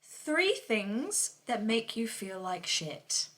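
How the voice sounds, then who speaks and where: A woman's voice: a little high, sing-song, very slow, a bit bright. A woman hosting a casual interview podcast, listening and chiming in with short supportive interjections. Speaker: podcast host.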